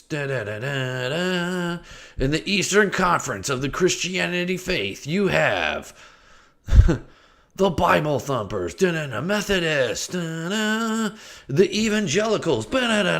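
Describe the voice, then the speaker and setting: A man's voice: on the high side, sing-song, slow pace, fairly bright tone. A man streaming casual commentary, joking and rambling, often trailing off, restarting and scatting nonsense syllables. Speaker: streamer reacting to a video.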